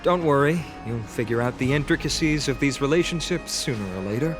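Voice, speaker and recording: Male actor, earnest young man, medium pitch, gentle, polite, hesitant, music behind, game dialogue. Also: brisk, close to an everyday voice. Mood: gentle concern. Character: kind, tactful, earnest young male hero. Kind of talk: video game acting.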